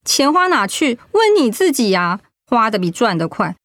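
A woman's voice: on the high side, very animated, moderate pace, a bit bright, no room sound, clean studio sound. A woman acting a proud, stubborn middle-aged wife and mother in a radio drama, blunt and sardonic. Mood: exasperated.

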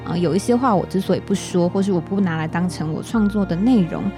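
A woman's voice: on the low side, fast, music in the background. A woman with a Taiwanese Mandarin accent hosts a podcast in a reflective, conversational way, speaking earnestly and articulately. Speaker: podcast host.

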